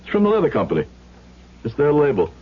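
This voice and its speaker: A man's voice: a little high, huge pitch swings, quick pace, slightly rough, heavily acted. An actor playing a middle-aged immigrant shopkeeper father, firm and reassuring, in a radio drama. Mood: brisk, dismissive. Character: immigrant shoe repairman father, firm, reassuring, dismissive of worry. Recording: muffled noisy old radio recording.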